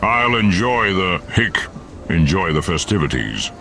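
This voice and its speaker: Gruff, drawling drunken alien brute. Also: male voice, sits low, big pitch swings, measured pace, bright, forceful tone, faint music behind, exaggerated voice. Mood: dreamy, compliant. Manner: slurred, hiccuping drunk delivery. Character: huge drunken four-armed alien reveler, jolly and sentimental.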